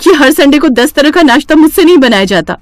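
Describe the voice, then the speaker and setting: A woman's voice: high-pitched, lively intonation, quick pace, no room sound, studio quality, pitched above the performer's own voice. A woman voicing an overworked young housewife in a family cartoon, plaintive and complaining. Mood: fed up.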